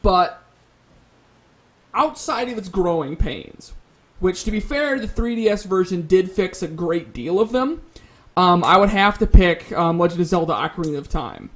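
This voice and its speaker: A man's voice: high voice, expressive, moderate pace. A man speaking as a panelist in a casual gaming discussion, conversational and careful to qualify his opinions.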